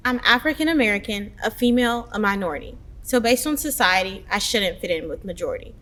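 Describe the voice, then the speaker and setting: A woman's voice: medium pitch, lively intonation, fast, bright, forceful tone. A woman student delivering a scripted personal monologue. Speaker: student speaker.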